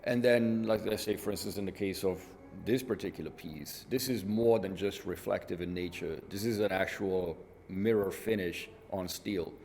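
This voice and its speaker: Low-voiced Italian-born man in a hall. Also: normal speed, creaky, a little mellow, slight background noise. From northern Italy. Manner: reflective, with frequent "you know" asides. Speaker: guest artist. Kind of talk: relaxed interview answers. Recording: reverberant hall with faint ambience.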